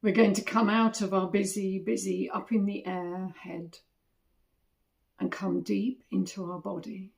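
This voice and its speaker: A woman leads a guided meditation in a medium-pitched voice with plenty of vocal fry. She speaks very slowly and gently, in short phrases with long pauses between them. The delivery is soothing and instructional, as from a body scan teacher. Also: flat delivery.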